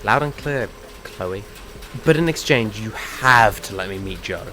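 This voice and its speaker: An actor playing a brash, teasing monster hunter and comic book nerd in an audio drama. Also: male voice, fairly low pitch, animated, a little slow, sharp tone, slight background noise. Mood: bantering.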